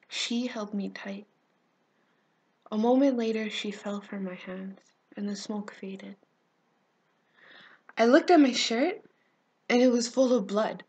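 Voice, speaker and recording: Woman acting as haunted teenage girl, dramatic monologue, slow and halting, soft breathy voice, tender, grieving. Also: on the high side, pitched above the performer's own voice. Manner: slow, halting, emotive, swelling from hush to intensity.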